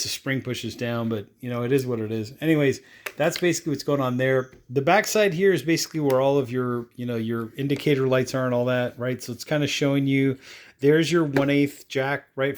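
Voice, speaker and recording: A man giving a casual, unscripted product demonstration in a rambling, offhand way, often checking in with tag questions and repeating catchphrases. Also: mid-range voice. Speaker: product reviewer.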